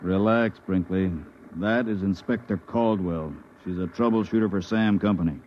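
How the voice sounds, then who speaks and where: An actor playing a weary, wry spaceship captain with a put-upon manner, in 1950s radio drama style. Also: male voice, low-pitched, steady tone, moderate pace, close to an everyday voice. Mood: confiding. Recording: muffled old radio, noisy crowd behind.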